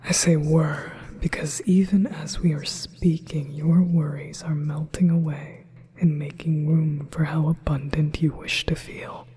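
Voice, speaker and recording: A man's voice: on the high side, mellow, husky, full character voice. A man whispering as a possessive yandere demon. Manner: slow soothing whisper. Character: possessive manipulative male demon offering a contract. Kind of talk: ASMR hypnosis roleplay.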